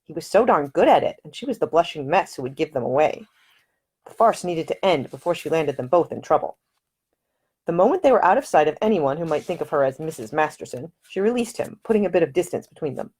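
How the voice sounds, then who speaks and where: Low-voiced woman reading her novel. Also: breathy edge. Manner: measured, expressive storytelling. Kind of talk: audiobook narration.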